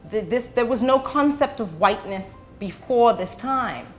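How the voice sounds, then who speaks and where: A middle-aged woman from the Bahamas and the UK, an educator giving explanations as an interview guest, choosing her words deliberately with pauses. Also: fairly high pitch, lively intonation, faint music behind.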